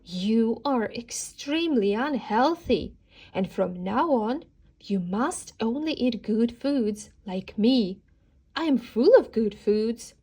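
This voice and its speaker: A woman's voice: a little high, animated, slow, warm tone, breathy edge, full character voice. A woman voicing a stern, health-preaching mother who is a doctor, firm and instructive, in a children's storybook. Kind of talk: children's storybook read-aloud character.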